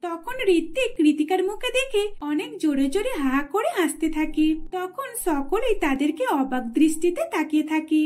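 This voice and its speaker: Very high-pitched woman storyteller. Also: expressive, moderate pace, hardly any pauses, darkish tone. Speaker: narrator. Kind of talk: scripted cartoon storytelling.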